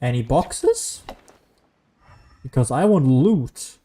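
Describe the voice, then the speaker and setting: A man streams a game in casual, rambling commentary, speaking as a native German speaker. His voice sits at an average pitch with a soft, mellow tone. He often jokes at his own expense as he thinks out loud. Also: big pitch swings, takes it slowly, slightly rough. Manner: rambling, self-deprecating joking.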